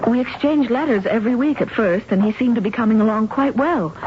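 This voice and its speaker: A woman's voice: fairly high pitch, fairly quick, lightly acted. An actress playing a reserved, anxious young woman in a radio drama, speaking politely and carefully. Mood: anxious, subdued. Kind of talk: radio drama acting and narration. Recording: muffled, noisy old radio recording.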